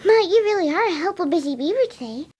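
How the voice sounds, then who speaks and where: A woman voicing a gentle, timid winged pony who dotes on animals, soft, sweet and motherly, in a fan cartoon story reading. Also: very high-pitched, animated, fast. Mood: gentle, doting.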